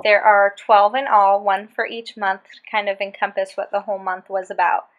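A woman's voice: mid-range voice, little pitch movement, moderate pace, lo-fi sound. A young woman in her twenties reading her own book posts aloud with a sarcastic, exasperated delivery, recorded on a webcam in a small bedroom. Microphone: webcam at desk.

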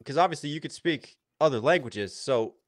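A man with a slightly high voice, chatting in a relaxed, wry way as a co-host of a group podcast. His lines come through a studio mic on a video call, close and without room sound. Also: normal speed, no room sound. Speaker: podcast co-host, rap breakdown reactor. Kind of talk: casual group podcast chat.

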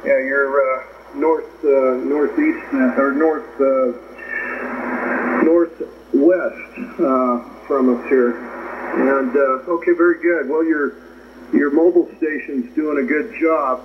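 A man chatting casually over shortwave radio in a halting, rambling way, heard through a radio speaker. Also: mid-range voice, fairly even intonation. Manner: halting, rambling, hesitant phrasing. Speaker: amateur radio operator. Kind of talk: casual ham radio chat. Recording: noisy, muffled shortwave radio reception. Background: radio static.

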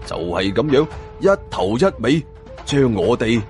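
男人好生動咁講懸疑故仔. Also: male voice, medium pitch, big pitch swings, a little slow, a little mellow, faint music behind. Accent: Hong Kong Cantonese. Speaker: audiobook narrator. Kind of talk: dramatic scripted storytelling of a suspense novel.

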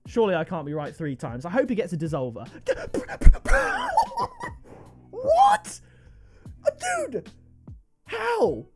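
A man, commenting on game item trades at his desk, excitable and jumping to high-pitched disbelief. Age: 20s. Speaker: video game trading streamer. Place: gaming room indoors.

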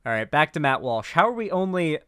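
A man in his twenties with an American accent, giving indignant, sarcastic reaction commentary as a live-streamer, halting and swearing, close on the mic. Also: a little high, sing-song, bright, forceful tone, clear, resonant tone, no echo. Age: 20s. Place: small home streaming room. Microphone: close boom mic.

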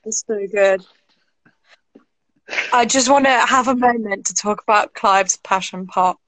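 A young English woman chatting casually on a video call, animated and gushing, full of "like", from a small room at home. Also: mid-range voice, a little slow, low-quality recording. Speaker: co-host. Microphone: laptop or webcam on a video call.

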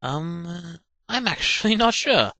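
A man's voice: on the high side, very animated, a little slow, sharp tone, no room sound, full character voice. A man voicing a hapless, nervous military subordinate giving a briefing in a parody cartoon. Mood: earnest, nervous. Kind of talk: fan-dub parody cartoon acting.

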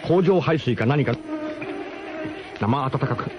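A man's voice: medium pitch, animated, slow, over music. A man narrating a radio drama as comic first-person storytelling, in an emphatic, mock-grandiose manner. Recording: muffled radio broadcast with clear background noise.

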